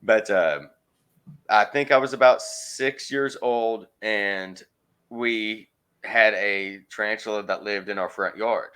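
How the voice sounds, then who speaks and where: A man's voice: lowish voice, steady tone, takes it slowly, bright, forceful tone, clean tone. A man chatting casually as an interview guest, rambling and searching for words with frequent ums. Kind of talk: casual chat.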